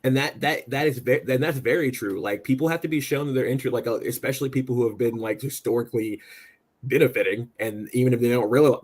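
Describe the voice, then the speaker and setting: An American man with a slightly husky voice of average pitch chats about politics. He rambles and doubles back, agreeable and informal as a panelist. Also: a little mellow. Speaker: political talk show panelist. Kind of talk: casual political chat.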